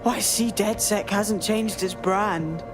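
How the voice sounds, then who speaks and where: A woman's voice: medium pitch, slow, lightly acted. An actress playing a ruthless female hacker villain in a video game, theatrical, sarcastic and coldly superior. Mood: scornful. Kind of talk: scripted video game voice acting. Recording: music behind the voice.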